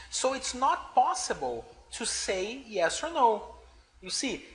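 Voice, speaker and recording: A man teaching beginners English, talking slowly and deliberately. His pitch swings up and down a lot as he stresses each point. He keeps checking in with the class through a frequent "okay?". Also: a bit bright.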